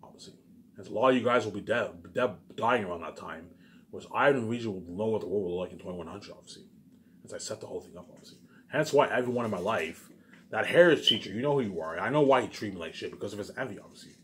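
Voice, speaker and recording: A man speaks very quickly in a fairly low voice, rambling informally to his viewers. He circles back over the same phrases in an insistent, boastful way. There is noticeable noise behind him. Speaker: video maker talking to viewers. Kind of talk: rambling casual monologue to camera.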